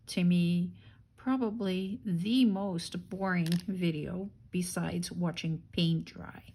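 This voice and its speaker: A woman's voice: lowish voice, darkish tone. A woman hosting a casual craft-along, unhurriedly thinking aloud and murmuring to herself as she works. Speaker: craft tutorial host.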